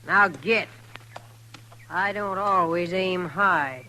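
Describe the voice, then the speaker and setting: A woman's voice: on the low side, extremely slow, pushed and bright, exaggerated voice. An actress playing a hostile, hardened frontier homesteader woman, curt and defiant, in an old radio western. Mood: hard, hostile. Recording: 1950s radio recording, faint noise.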